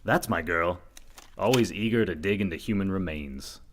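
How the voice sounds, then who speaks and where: A man's voice: deep voice, moderate pace, background noise, lightly acted. A man playing an earnest, overconfident and slightly dim reporter detective in a comic radio mystery, delivered dramatically. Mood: confident. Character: earnest, dim, self-assured young male reporter detective. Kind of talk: comedic old-time radio mystery acting.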